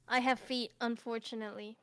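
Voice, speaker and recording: A woman's voice: a little high, a bit bright, smooth. A woman guest in a casual chat, laughing often and rambling in slangy speech. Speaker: guest streamer.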